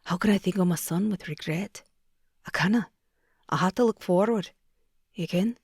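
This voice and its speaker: A woman voicing a remorseful young Scottish man who speaks in a low, hushed, earnest way. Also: normal speed, slightly rough, no room sound, clean studio sound.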